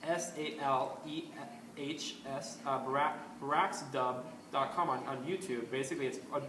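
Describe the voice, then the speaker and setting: Male host, casual rambling delivery, mid pitch, roomy echo, faint background hiss. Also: moderate pace. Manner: rambling, many ums and restarts. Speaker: astrology video host. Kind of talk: casual spoken commentary. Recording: echoey room with faint ambient noise.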